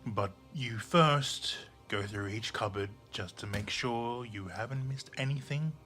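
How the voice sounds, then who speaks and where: Male narrator for an audio drama, unhurried and deliberate, mid-pitched, short phrases with pauses, soft music underneath. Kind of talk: scripted second-person audio drama narration.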